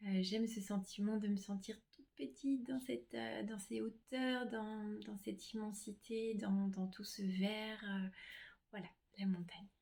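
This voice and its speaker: A middle-aged woman, a reflexologist, talking casually about herself to her clients in a gentle, hesitant way with many pauses. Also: mid-range voice, fairly even intonation, vocal fry. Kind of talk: casual self-presentation to clients.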